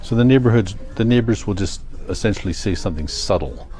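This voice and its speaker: An older man with a Canadian accent, chatting casually in a rambling vlog, thinking aloud in a halting, self-correcting way. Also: on the low side, animated, dark tone. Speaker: vlogger.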